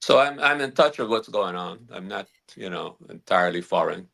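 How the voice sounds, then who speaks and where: A man from Guyana who is a guest attorney, explaining legal matters in measured, halting answers with many restarts. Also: low-pitched, expressive, vocal fry, a bit bright.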